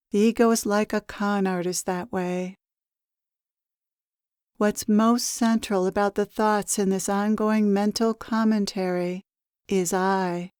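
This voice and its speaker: Slow, smooth-voiced woman guiding meditation. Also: medium pitch, no room sound, clean studio sound. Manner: soothing, measured, gently instructive with pauses. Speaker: meditation guide, spiritual channeler. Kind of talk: guided meditation, channeled spiritual teaching.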